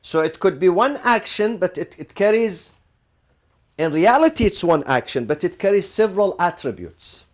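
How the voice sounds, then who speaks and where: A man with a fairly high voice gives a classroom lecture. He keeps asking "what?" and then answers himself, repeating phrases to drive the point home and dropping in Arabic legal terms as he goes. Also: sing-song, moderate pace. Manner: asks rhetorical questions and answers them, repeats phrases for emphasis. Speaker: teacher.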